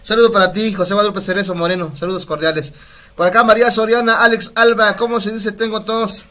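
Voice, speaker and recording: A middle-aged Mexican man with a Mexican Spanish accent teaches vocabulary on a live stream, chatty and quick to laugh. His voice sits high for a man and sounds bright and forward. He slows down and repeats each word carefully so listeners can follow. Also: fairly even intonation. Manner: chatty, laughs often, repeats words slowly to teach pronunciation. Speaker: community radio host teaching Nahuatl. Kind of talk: casual live language lesson, reading viewer comments.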